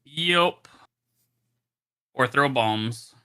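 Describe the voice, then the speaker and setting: A man's voice: medium pitch, expressive, very slow, pushed and bright. A man co-hosting a game stream in casual, conversational chat. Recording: game music behind the voice. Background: video game music.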